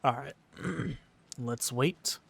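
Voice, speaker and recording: A man's voice: medium pitch, extremely slow, creaky, husky. A man giving casual, slangy let's play commentary, muttering his reactions to himself as he goes. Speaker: gamer commentating his own playthrough.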